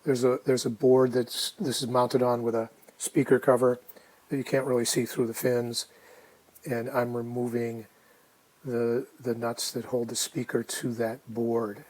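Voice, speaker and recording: Mellow man, casually narrating a DIY project. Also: medium pitch, little pitch movement, unhurried, rough recording. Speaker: hobbyist restorer narrating a project.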